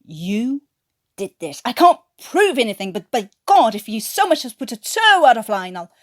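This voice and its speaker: A woman voicing a stern, vengeful male flight sergeant in a story reading, forceful, stiff and commanding. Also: a little high, big pitch swings, normal speed. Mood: furious.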